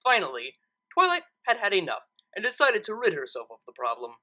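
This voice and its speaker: A woman's voice: medium pitch, wildly swooping pitch, fairly quick, bright, forceful tone. A woman hosting and reading stories, speaking casually and emphatically with enthusiasm. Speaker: channel host and story reader. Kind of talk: casual vlog-style update and story narration.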